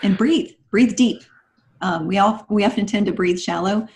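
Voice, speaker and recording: A woman's voice: medium pitch, moderate pace, darkish tone. A woman guest on a podcast, giving conversational advice in an instructive, encouraging manner.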